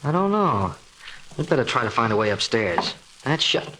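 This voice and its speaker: An actor playing a cocky, wisecracking newspaper reporter in a hissy 1940s radio drama. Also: male voice, mid-range voice, sing-song, moderate pace, close to an everyday voice. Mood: wry, curious. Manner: brash, slangy wisecracking delivery.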